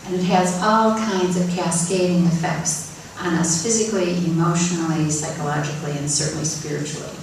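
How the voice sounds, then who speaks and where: A woman's voice: on the low side, steady tone, fairly quick, darkish tone, slight background noise. A woman spiritual teacher sharing a message with a congregation, encouraging and calling people by name. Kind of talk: sermon talk. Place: church sanctuary. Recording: echoey hall, voice from far off, faint ambience.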